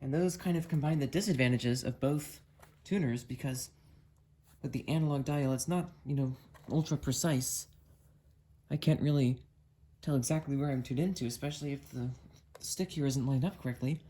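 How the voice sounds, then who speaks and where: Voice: medium pitch, normal speed, warm tone. A hobbyist talking casually through a hands-on product demo, thinking aloud in a musing, matter-of-fact way. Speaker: amateur video maker, radio hobbyist.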